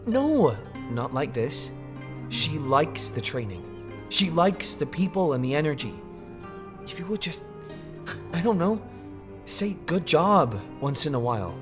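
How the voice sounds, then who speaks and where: Man acting an earnest, exasperated son, lively pitch. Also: measured pace, long pauses. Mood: frustrated, pleading. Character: frustrated, earnest grown son pleading with father. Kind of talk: animated comic fandub acting. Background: music.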